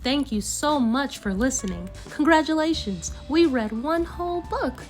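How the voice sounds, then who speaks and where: Woman reading a kids folktale out loud, kinda high voice, expressive storyteller phrasing. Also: moderate pace, over music. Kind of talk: read-aloud children's folktale narration.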